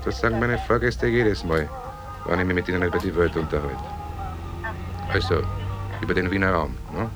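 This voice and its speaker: An actor playing a droll, philosophising Viennese taxi driver in a radio drama, with a quirky deadpan manner. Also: male voice, low-pitched, normal speed, pauses often, music in the background, lightly acted.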